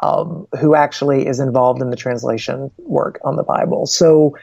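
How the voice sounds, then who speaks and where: A man answering interview questions as a guest from a Bible publishing ministry, in an earnest, measured way that builds each point step by step. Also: mid-range voice, fairly even intonation, creaky, a little mellow, slightly rough, no echo. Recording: slightly dull, lo-fi sound.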